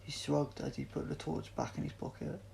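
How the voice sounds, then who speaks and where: A man's voice: a little high, fairly even intonation, normal speed, creaky, a little mellow, slight background noise. A man reading a story aloud as an audiobook narrator, in an amateur style with occasional stumbles. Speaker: audiobook reader.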